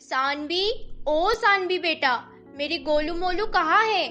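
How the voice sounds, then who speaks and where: A woman's voice: very high-pitched, slow pace, loud music behind the voice, voice pushed up. A woman playing an aging Indian mother-in-law in a family story, plaintive, homely and doting.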